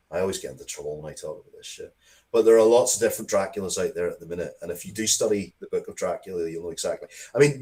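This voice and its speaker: Man, deep low pitch, casual interview talk, emphatic, opinionated, repetitive phrasing. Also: a little mellow. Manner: emphatic, mildly sweary, repeats words for emphasis. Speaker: interview guest, writer.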